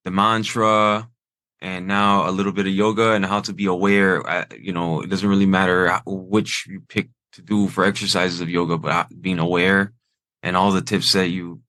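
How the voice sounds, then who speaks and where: A man hosting a podcast, who thanks his guest in a relaxed, friendly way and uses loose fillers. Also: deep voice, no room sound, studio quality. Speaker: podcast host. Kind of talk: casual interview chat.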